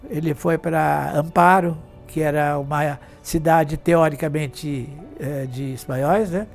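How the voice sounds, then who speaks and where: A man's voice: on the high side, a little mellow. An elderly man in his eighties from Brazil, an artist and fashion designer, reminiscing in an interview. He rambles, restarts his sentences and keeps checking in with his listener. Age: 80s. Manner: rambling, with many restarts and tag questions.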